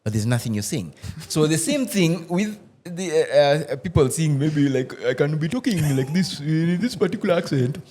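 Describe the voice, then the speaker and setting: A man, a podcast guest who tells animated stories in casual roundtable chat, often restarting and laughing. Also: a little high, normal speed, few pauses, a little hiss or hum. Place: podcast studio.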